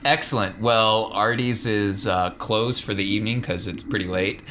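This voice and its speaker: A man's voice: on the low side, moderate pace, bright, forceful tone, slight background noise. A man narrating a tabletop game as game master, casually and with playful, informal descriptions.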